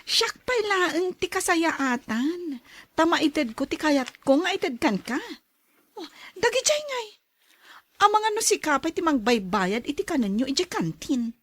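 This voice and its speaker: Woman acting a haughty, jealous young woman, high-pitched. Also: very animated, a little slow, no room sound. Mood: possessive. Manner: sharp, scolding, haughty. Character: jealous, possessive, haughty young woman threatening her rivals. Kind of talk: audio drama acting.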